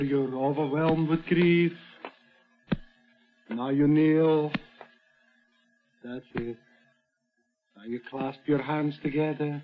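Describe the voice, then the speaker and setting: An actor playing a film director who coaches with slow, measured, coaxing instructions in a 1940s radio drama. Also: male voice, a little high. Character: film director softly coaching an actress through a scene. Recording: dull vintage radio recording, music behind.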